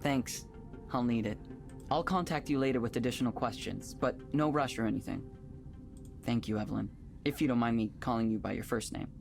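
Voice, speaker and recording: An actor playing a polite, composed male tech company manager in a visual novel, speaking in a measured, businesslike way. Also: mid-range voice, gravelly, faint music behind, lightly acted. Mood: polite, friendly.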